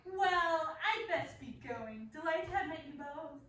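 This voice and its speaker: A woman's voice: high voice, animated, a little slow, a bit bright. A woman playing a mad asylum patient in a radio play, theatrical and mock-courteous with sly menace. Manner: theatrical, grandly declaiming, mocking. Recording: roomy echoing hall, distant mic, faint noise.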